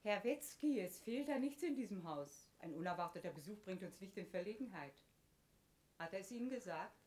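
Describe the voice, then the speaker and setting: A woman in a radio drama playing a calm lady of the house who speaks softly from a distance. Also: medium pitch, fairly quick, natural voice. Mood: cool.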